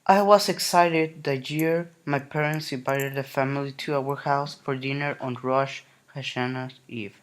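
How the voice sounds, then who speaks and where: A man reading a children's picture book aloud in a gentle, unhurried way. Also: mid-range voice, steady tone. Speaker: narrator. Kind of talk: storybook reading.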